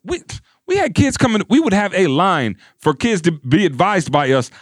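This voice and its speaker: A man's voice: high-pitched, very animated, normal speed, no room sound, clean studio sound. A man co-hosting a casual podcast, arguing his points emphatically and acting out quotes. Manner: emphatic, quotes people in voices. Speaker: podcast co-host. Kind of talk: casual debate chat.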